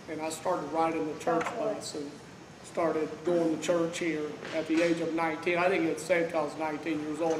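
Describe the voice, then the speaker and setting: Loud unmiked man in an echoey hall. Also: fairly high pitch, noisy background. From Kansas City, Missouri. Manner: loud, unmiked, rambling with many "uh"s. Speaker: church member giving a tribute. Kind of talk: informal heartfelt tribute speech. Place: large church fellowship hall. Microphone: no microphone, picked up from across the room.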